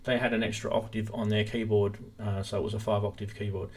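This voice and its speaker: A man's voice: fairly low pitch, little pitch movement, fast talker, darkish tone. Casual man presenting a live stream demo. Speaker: host of a synthesizer live stream. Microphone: stream microphone.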